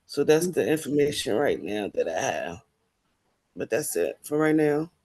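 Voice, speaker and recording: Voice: medium pitch, very animated, moderate pace, darkish tone. A neighborhood commissioner giving a brief, informal report at a public meeting.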